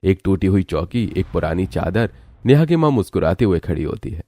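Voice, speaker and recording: A man's voice: low-pitched, sing-song, a little fast, warm tone. A man narrating a scripted cartoon story as its storyteller.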